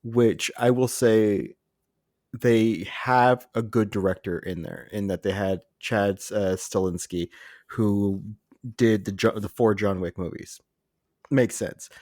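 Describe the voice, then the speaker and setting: A man's voice: on the low side, slow, a little mellow, no echo, studio quality. A man co-hosting a podcast in casual, conversational chat. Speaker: podcast co-host. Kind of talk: casual pop culture chat.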